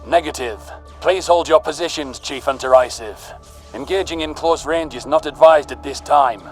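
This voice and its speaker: Guy doing a space navy commander on comms, higher pitched, calm and official, measured orders, audio drama. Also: soft background music, natural voice. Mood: firm, controlled. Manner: firm, formal, measured. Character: composed human military fleet commander over comms. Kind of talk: sci-fi audio drama character acting.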